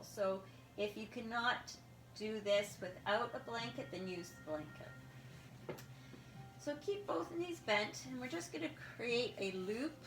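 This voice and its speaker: A woman leading a guided yoga class, giving calm, step-by-step instructions. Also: on the high side. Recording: echoey room with music behind. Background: music.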